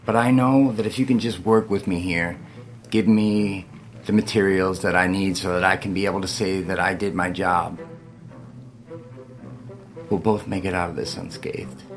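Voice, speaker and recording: A man's voice: low voice, steady tone, normal speed, pauses often, faint music behind. A man playing a criminal middleman who earnestly coaxes his captive in a dramatic script performance. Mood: earnest, coaxing. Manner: earnest, persuasive, informal. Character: tense male criminal middleman, coaxing his frightened captive.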